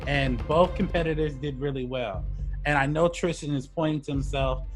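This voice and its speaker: A man's voice: on the high side, moderate pace, soft background music, low-quality recording. A man casually hosting and judging an online debate show, informal and given to chuckling. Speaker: debate show host and judge.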